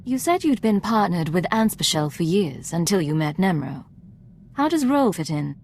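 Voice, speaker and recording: An actress voicing a composed, authoritative woman intelligence agent in a video game, speaking in a measured, guarded, formal way. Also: on the low side, sing-song, a little mellow, a little hiss or hum. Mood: probing. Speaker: player character in a video game. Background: music.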